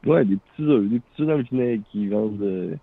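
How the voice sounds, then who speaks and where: A man's voice: lowish voice, lively intonation, fairly quick, creaky. A man in his thirties with a Quebec French accent, talking matter-of-factly and hesitantly in a casual chat. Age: 30s. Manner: matter-of-fact, hesitant, many restarts. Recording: phone-like line.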